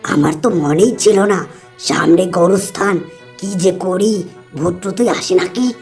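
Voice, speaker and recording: A woman acting a nervous cartoon wayfarer, afraid of ghosts, with a gravelly, creaking voice. The delivery is slow and sits over soft music. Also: on the low side. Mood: fearful. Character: timid traveller, singing to self, scared of ghosts.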